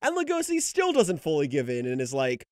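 A man's voice: fairly high pitch, wildly swooping pitch, a little fast, clean tone, no room sound, clean studio sound. A young man giving casual scripted manga commentary as a video essay host, informal, sweary and emphatic. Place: voice-over. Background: faint music.

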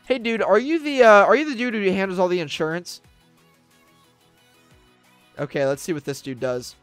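A man doing upbeat roleplay commentary over a game, shouting commands when things get tense. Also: high voice, moderate pace, clear, resonant tone, no room sound. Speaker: gaming YouTuber. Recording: faint background music.

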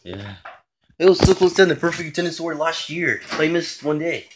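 Hoarse lady with a super low voice chatting over her game, noisy clicky background. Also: animated, fairly quick. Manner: chatty, reacting aloud to the game. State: hoarse, clearing throat. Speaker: gaming video creator. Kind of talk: casual gameplay commentary. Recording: clear background noise with clicks.

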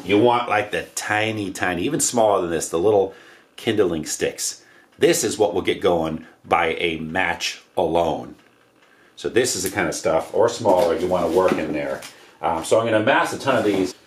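American male DIY presenter, low-pitched, casual and rambling, recorded in an echoey living room. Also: normal speed. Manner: offhand, slangy, rambling. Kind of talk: casual hands-on how-to demonstration. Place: indoors, living room by wood stove. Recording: roomy echo, noise of handled objects.

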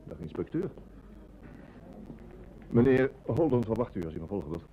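An actor with a Netherlands Dutch accent playing a deferential, chatty bank clerk in an old radio drama. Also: male voice, fairly low pitch, very animated, moderate pace. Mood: polite, deferential. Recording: dull, noisy old radio-play recording.

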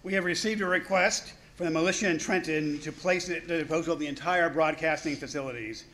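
A man playing a grave official who makes stiff, measured public statements in a 1930s-style radio drama. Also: fairly high pitch, fairly bright tone, close to an everyday voice. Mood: formal, serious.

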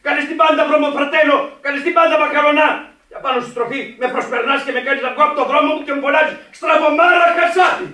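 Sharp, high, hot-headed truck driver. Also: male voice, steady tone, a faint crowd. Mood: angry indignation. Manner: aggressive, street-tough. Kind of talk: Greek radio theatre acting. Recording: echoey, distant from the microphone.

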